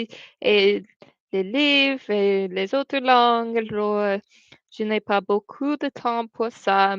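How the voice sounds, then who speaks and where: A woman's voice: mid-range voice, clear, resonant tone, no room sound. Young woman chatting on a livestream. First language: English. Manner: rambling, chatty, switches between English and French. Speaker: livestream host. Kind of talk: casual livestream chat reading comments. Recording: dull, dry home stream audio.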